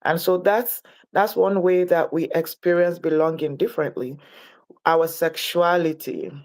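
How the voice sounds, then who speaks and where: A woman from Nigeria, speaking as a guest in an interview, reflective and deliberate in her delivery. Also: fairly low pitch, steady tone, a little mellow. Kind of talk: interview conversation.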